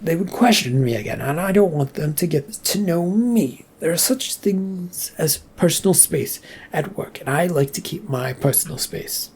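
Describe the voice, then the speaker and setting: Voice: medium pitch, lively intonation, moderate pace, darkish tone, breathy edge. A reader voicing a stressed male manager who stammers and gets flustered.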